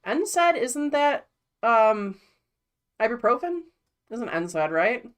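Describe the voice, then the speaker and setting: A woman's voice: on the high side, very animated, slow pace. A woman streamer giving blunt, profane, exasperated reaction commentary.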